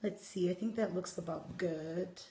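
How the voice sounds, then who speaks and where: Hoarse woman yoga teacher, cueing a pose as she does it, heard from across a very echoey room. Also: on the low side, a little slow, dark tone, slight background noise. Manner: talks through poses while demonstrating, stops to greet viewers. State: hoarse from a cold. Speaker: yoga instructor. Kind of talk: live-streamed yoga lesson. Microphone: camera set back across the room. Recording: echoey room, voice far from the mic.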